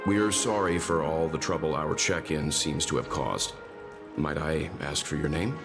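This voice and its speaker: A man's voice: rumbling low, normal speed, over music. An actor playing a grave, courteous male authority in a video game, formal and restrained. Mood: apologetic, formal.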